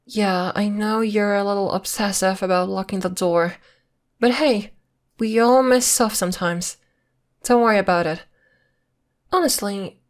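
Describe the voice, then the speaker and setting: A woman's voice: medium pitch, natural voice. A woman acting in an ASMR roleplay as a playful, evasive girlfriend who is secretly a witch, talking intimately in a coy, teasing, casual way. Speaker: voice actor.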